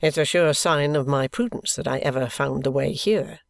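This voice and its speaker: A man's voice: medium pitch, moderate pace, vocal fry, dry recording, lightly acted. A man voicing a composed, dry-humoured former soldier in an audiobook. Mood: wry. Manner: calm, dry. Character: composed, wry ex-soldier, middle-aged man. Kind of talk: audiobook reading.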